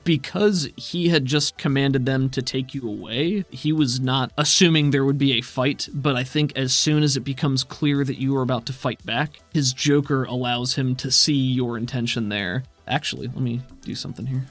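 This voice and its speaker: A man's voice: medium pitch, steady tone, normal speed, few pauses. A man acting as game master, narrating and explaining rules in a conversational way. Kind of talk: narrating and refereeing a tabletop RPG. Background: faint music.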